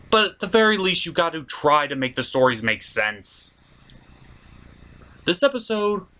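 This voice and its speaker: A man's voice: mid-range voice, sharp tone. A man delivering a ranting, profane review as an online host, exasperated and emphatic.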